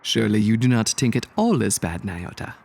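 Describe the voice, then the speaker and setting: Man acting a gravelly, gentle zebra. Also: lowish voice, sing-song, a little fast, full character voice. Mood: gently reassuring. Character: gentle, playful, encouraging male zebra. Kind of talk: audio drama character acting.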